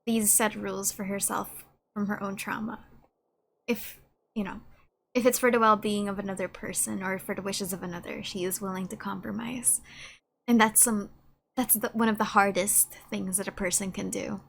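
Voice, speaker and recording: A woman's voice: medium pitch, fairly even intonation, normal speed, vocal fry, a bit bright, slight background noise. A woman giving casual, emotional, giggly reaction commentary on a video game. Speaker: gameplay video creator. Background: faint game music.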